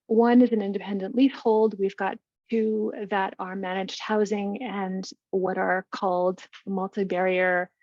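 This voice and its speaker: A woman who manages a seniors network, presenting her program in a webinar with lively energy and some restarts. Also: mid-range voice, a little slow, no room sound, dull audio. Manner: energetic, talks through slides with restarts. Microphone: video call.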